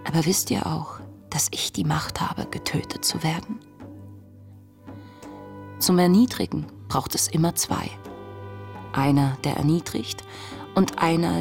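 An actress playing a young woman diarist in a radio play, earnest and reflective, speaking in a measured, intimate way. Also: sits low, gravelly, darkish tone. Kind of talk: radio play, diary read as monologue. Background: music.

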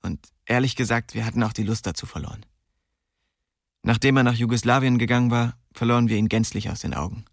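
A man's voice: medium pitch, fairly even intonation, fast talker, vocal fry, dry recording, studio quality, lightly acted. An actor playing a young streetwise man who recounts his small-town youth colloquially in a radio drama. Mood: sober recollection. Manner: colloquial, storytelling. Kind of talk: radio drama first-person narration.

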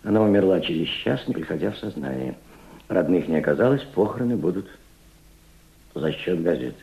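Deep-voiced, fatherly Soviet diplomat. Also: expressive, vocal fry. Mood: concerned, grave. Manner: theatrical, measured, addresses his companion gently. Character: kindly middle-aged Soviet diplomat, fatherly, thoughtful, resolute. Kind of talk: Soviet radio play acting. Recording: muffled archival radio drama recording, music behind.

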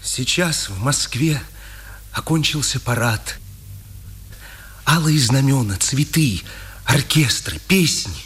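An impassioned, slow-speaking poetic prisoner. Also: male voice, mid-range voice, animated, a bit bright. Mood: solemn, reverent. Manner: declamatory, lyrical. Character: impassioned, defiant imprisoned resistance fighter, poetic and brooding. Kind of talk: 1950s Soviet radio drama acting. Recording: old radio recording with background noise.

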